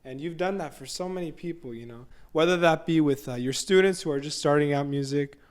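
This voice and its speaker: A man's voice: on the high side, brisk. Young man hosting an interview, encouraging. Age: young adult. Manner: friendly, encouraging, tails off quietly on "you know". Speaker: interview host. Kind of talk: casual interview talk.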